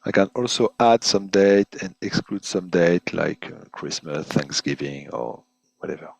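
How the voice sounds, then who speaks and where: A man presenting an unscripted webinar software demonstration in halting, hesitant phrases, often repeating words as he goes. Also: low-pitched, steady tone, vocal fry, husky, no room sound, low-quality recording. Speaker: product presenter giving a software demo.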